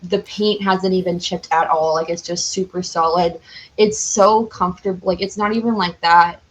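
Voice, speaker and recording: A woman's voice: lowish voice, fairly even intonation, moderate pace, low-quality recording. A young woman chatting casually and enthusiastically as a podcast guest, rambling and saying "like" often. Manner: enthusiastic, rambling, heavy use of "like".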